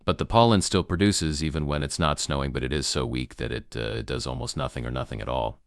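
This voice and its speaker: A man playing a grave emergency news broadcaster in an amateur apocalypse broadcast, gravelly, solemn and halting in his delivery. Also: a bass voice, no room sound, clean studio sound. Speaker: news anchor.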